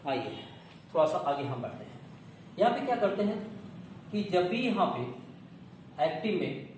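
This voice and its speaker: A male teacher explains in an instructive, step-by-step way. His voice sits high for a man. It comes from a distance in a very echoey room, with faint noise behind it. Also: big pitch swings, normal speed, darkish tone. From India. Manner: instructive, asks questions and answers them himself. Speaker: English grammar coaching teacher. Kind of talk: classroom lesson, explaining step by step.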